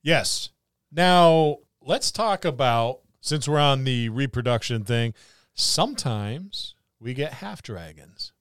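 A man's voice: medium pitch, animated, takes it slowly, a bit bright, smooth, no room sound, clean studio sound. A man co-hosting a podcast, chatting casually about tabletop roleplaying in a rambling, jokey way. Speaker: podcast co-host.

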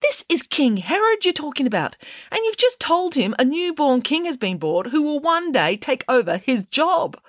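A woman voicing a bossy, sharp-tongued, glamorous female pig from a storybook, scolding in a no-nonsense way. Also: low voice, lively intonation, a little fast, no echo, studio quality. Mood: accusing.

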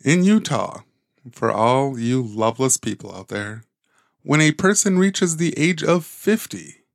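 A man with a mid-range voice co-hosts a comedy podcast, laughing often and adding deadpan quips. He is recorded close and dry. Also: medium pitch, measured pace, vocal fry, no room sound. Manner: laughs often, adds deadpan one-liners. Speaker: podcast co-host. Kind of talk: casual comedic chat.

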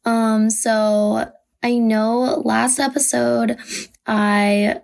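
A young woman hosting a casual solo podcast, her voice raspy and hoarse from a cold, chatting loosely with lots of fillers. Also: fairly high pitch, level tone, drawn-out words, darkish tone. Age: young adult. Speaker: podcast host.

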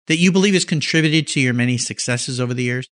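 A man's voice: medium pitch, quick pace, fairly bright tone. A man hosting an interview, enthusiastic and upbeat. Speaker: podcast host. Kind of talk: interview host chat.

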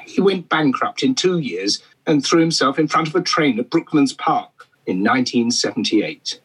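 A man's voice: mid-range voice, lively intonation, normal speed, slightly rough, low-quality recording. A man doing a pompous eccentric professor. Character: eccentric half-Swedish male furniture professor. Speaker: guest expert. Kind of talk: comedy podcast interview.

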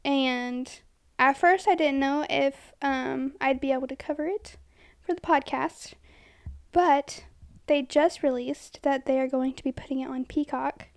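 Woman podcast co-host; very high, girlish voice with fry, giggly and casual. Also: steady tone, a little slow. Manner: giggly, youthful-sounding, says she sounds twelve.

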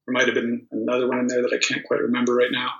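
A man's voice: medium pitch, very fast. A man in his thirties, originally from Poland, talking casually as an interview guest with plenty of ums and restarts. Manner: relaxed storytelling with many ums and restarts. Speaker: guest, volleyball player. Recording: dull, echoey remote recording.

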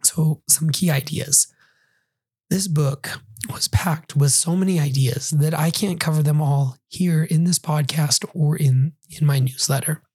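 A hoarse man hosts his own podcast, reviewing a book while still getting his voice back. His voice is raspy and dark, with some words slipping into a breathy half-whisper. The recording is close and dry. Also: on the high side, fairly even intonation, measured pace, dry recording. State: hoarse, recovering from losing his voice. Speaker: podcast host.

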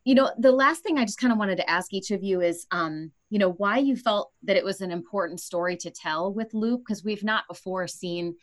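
A woman's voice: mid-range voice, fairly quick, hardly any pauses. A woman podcast host talking conversationally as she interviews guests.

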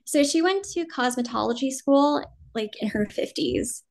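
Chatty American woman, slightly high. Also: normal speed, vocal fry, slight background noise. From New Jersey, US. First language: Vietnamese. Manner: relaxed, rambling, many "um" and "like", laughs easily. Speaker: guest actor. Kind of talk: casual interview chat.

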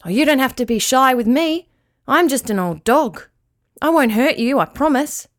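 A woman voicing a friendly old male dog in a children's story, speaking gently, politely and reassuringly. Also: sits high, lively intonation, moderate pace, voice pushed up.

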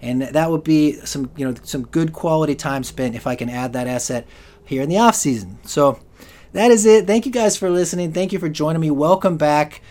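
A man's voice: fairly high pitch, a little fast, darkish tone. A man hosting a solo fantasy football podcast, rambling with lots of restarts and fillers, and he is losing his voice. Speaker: podcast host.

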